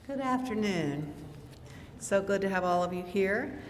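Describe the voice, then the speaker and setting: A woman who directs a museum, giving a measured, polite and formal welcome and announcements at a lecture. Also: mid-range voice, expressive. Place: large chapel lecture hall. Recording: long hall reverb, faint noise.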